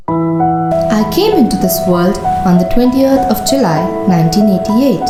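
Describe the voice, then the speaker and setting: A woman with an Indian accent playing a determined young sportswoman who tells her life story earnestly and deliberately. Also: mid-range voice, roomy sound, close to an everyday voice, rough recording. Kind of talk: scripted first-person storytelling.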